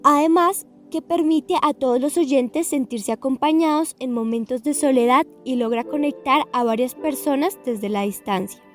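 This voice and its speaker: High-pitched woman narrating a documentary. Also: moderate pace, few pauses, soft background music.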